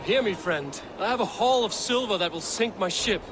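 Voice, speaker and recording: An actor playing a bold, wily Viking raider in a video game, confident and coaxing. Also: male voice, high voice, expressive, normal speed, background noise. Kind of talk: video game dialogue acting.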